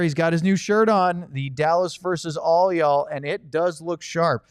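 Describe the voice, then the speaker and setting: American man, crisp higher-pitched sports podcast host. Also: dry recording, studio quality. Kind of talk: casual sports talk and ad read. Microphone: close studio microphone.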